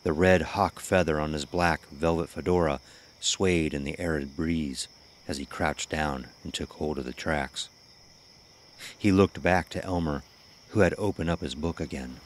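A man's voice: very deep voice, measured pace, a little hiss or hum. A man narrating a scripted horror story as its storyteller.

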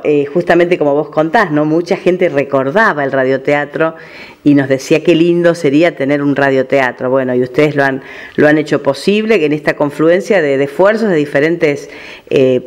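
Higher-pitched Argentine male radio host. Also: fairly quick. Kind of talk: conversational radio interview.

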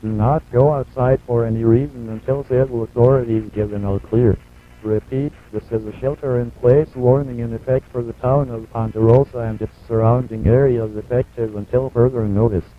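A man's voice playing an impersonal automated emergency announcer, reading warnings in a flat, formal, measured way. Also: medium pitch, a little hiss or hum, natural voice. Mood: impersonal, grave. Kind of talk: scripted emergency broadcast warning. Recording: muffled lo-fi VHS broadcast audio.

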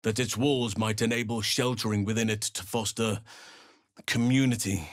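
An actor playing a solemn, gentle-hearted druid healer and warrior in a video game, speaking formally and with measured earnestness. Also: male voice, fairly low pitch, fairly even intonation, no echo, studio quality, close to an everyday voice. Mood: grave, measured.

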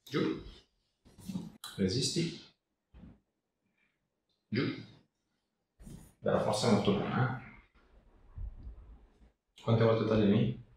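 A man working as a chiropractor, giving a patient brief, reassuring instructions in a creaky voice. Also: lowish voice, takes it slowly, pauses often, a little mellow. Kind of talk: giving short hands-on instructions to a patient during an exam. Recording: echoey room, distant mic, background noise.

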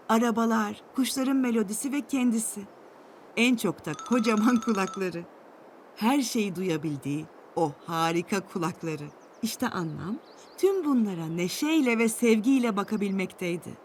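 A woman reading a scripted children's storybook tale with an expressive storytelling delivery. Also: medium pitch, unhurried, background noise.

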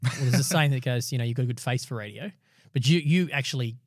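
A middle-aged man hosting a podcast, chatting casually and warmly with his guest in a small studio. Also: medium pitch, fast talker, no room sound. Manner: chatty and complimentary. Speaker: podcast host. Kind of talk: casual chat. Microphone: studio mic on boom arm with headphones.

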